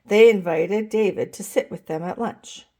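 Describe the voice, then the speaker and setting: A woman reading a children's picture book aloud in an expressive, deliberate way, as if to young listeners. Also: medium pitch. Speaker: storytime reader.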